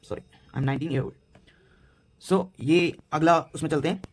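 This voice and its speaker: A nineteen-year-old man with an Indian accent, teaching a beginner German lesson in a mix of Hindi and English, halting and repeating himself with many fillers. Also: on the high side. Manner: slow, halting delivery with many fillers and repetitions.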